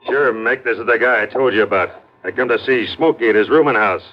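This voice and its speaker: A man's voice: medium pitch, fast, close to an everyday voice. Man as a surly radio gangland henchman. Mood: surly, accusing. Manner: slangy, uneducated tough-guy talk. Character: rough, surly male underworld henchman. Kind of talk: 1940s-50s radio crime acting. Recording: muffled old radio recording.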